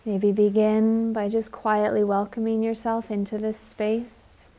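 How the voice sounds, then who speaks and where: A woman leading a guided meditation in a gentle, soothing manner, leaving long unhurried pauses. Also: medium pitch, completely flat delivery, muffled recording.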